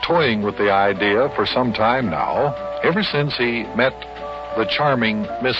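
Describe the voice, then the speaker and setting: A man's voice: medium pitch, unhurried, music in the background, close to an everyday voice. An actor playing a hearty, patient, folksy grown man who also narrates an old-time children's radio serial. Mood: storytelling. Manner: animated, folksy, storytelling. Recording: muffled old radio broadcast recording.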